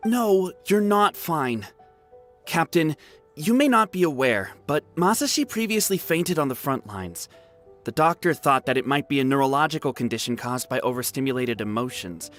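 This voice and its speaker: An actor voicing an earnest, excitable young war veteran in a video game. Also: male voice, a little high, moderate pace, faint music behind. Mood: earnest, concerned.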